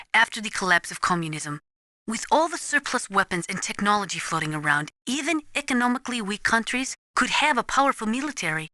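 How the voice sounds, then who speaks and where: A woman playing an earnest, resolute Ukrainian weapons analyst in a video game, speaking gravely and deliberately as if lecturing. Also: a little high, pushed and bright, no room sound. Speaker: military advisor briefing a soldier. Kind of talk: dramatic video game voice acting.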